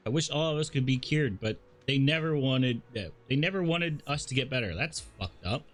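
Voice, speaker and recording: A man's voice: mid-range voice, animated, moderate pace, soft background music. A man livestreaming a story game, reading its dialogue aloud with casual asides and the odd stumble. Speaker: streamer playing a story game.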